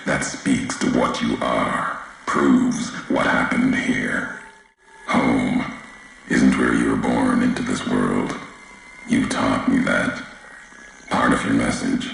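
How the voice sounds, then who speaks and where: An actor playing a grave, brooding wasteland wanderer in a video game, solemn and cryptic, speaking in clipped sentences with a gravelly voice. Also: male voice, a bass voice, a bit bright, full character voice. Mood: solemn, reproachful. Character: grave, brooding male wasteland wanderer, bitter about history. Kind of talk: dramatic scripted game dialogue. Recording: heavy echo, faint background noise.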